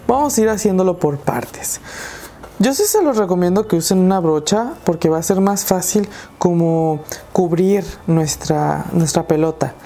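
A woman's voice: fairly low pitch, moderate pace, mellow. A young woman with a Mexican Spanish accent hosting a craft tutorial, chatting and encouraging viewers as she works. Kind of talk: casual DIY tutorial talk.

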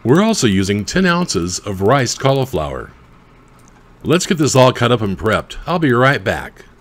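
A man's voice: mid-range voice, very animated, moderate pace. A man hosting a home cooking show, explaining ingredients and measurements step by step. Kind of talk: recipe instruction voice-over.

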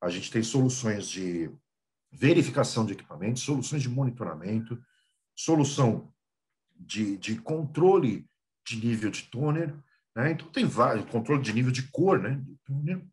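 A man's voice: medium pitch, moderate pace. A man with a Brazilian Portuguese accent, giving a business talk over a video call and often repeating small words as he thinks. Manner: lively, repeats small words, clears throat. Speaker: guest speaker.

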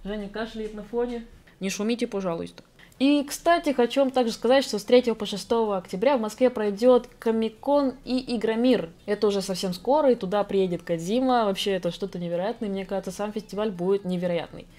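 A young woman artist chatting casually in a vlog, quick and self-deprecating, recorded at home at her computer desk. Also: mid-range voice, dark tone. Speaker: artist and video blogger. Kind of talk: casual vlog chat while drawing. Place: home room with computer setup.

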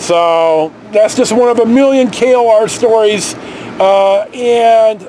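A man's voice: high voice, slow, dark tone, slight background noise, poor audio. A middle-aged man with an American accent, rambling through a casual personal story to camera. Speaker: storyteller vlogger. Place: inside a motorhome.

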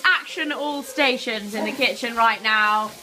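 A young woman filming a casual vlog on a handheld camera, chatting brightly and laughing often. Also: fairly high pitch, unhurried, a little hiss or hum, rough recording. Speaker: vlogger host. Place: outdoors on a ski slope and in a chalet kitchen.

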